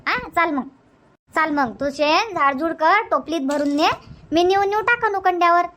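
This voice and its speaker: A woman's voice: extremely high pitch, moderate pace, a bit bright. A woman voicing an older, indulgent but bossy village grandmother in a cartoon comedy, repetitive, with a Varhadi Marathi accent.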